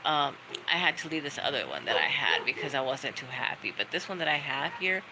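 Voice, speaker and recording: A woman's voice: a bass voice, very animated, a little fast, noisy background. A middle-aged woman chatting casually on a livestream, rambling through stories in a friendly, talkative way. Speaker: livestream host. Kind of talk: casual chat to viewers. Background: dogs barking.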